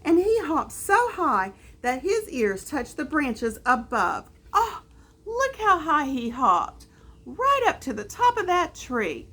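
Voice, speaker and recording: Female storyteller for a young child's picture book, highly animated pitch, slow and drawn-out. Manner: drawn-out, expressive child-directed delivery. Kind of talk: reading a picture book aloud to a small child, with asides.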